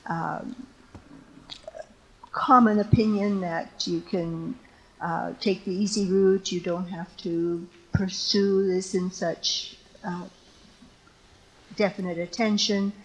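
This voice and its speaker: Older woman, low voice, very slow, halting, thoughtful, panelist on stage. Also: steady tone, poor audio. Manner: halting, thoughtful, many fillers. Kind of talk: answering an audience question. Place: lecture stage before an audience.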